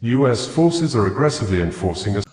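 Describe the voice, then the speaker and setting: A man reading a scripted news bulletin in a steady, formal delivery. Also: mid-range voice, quick pace, reverberant. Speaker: news reader.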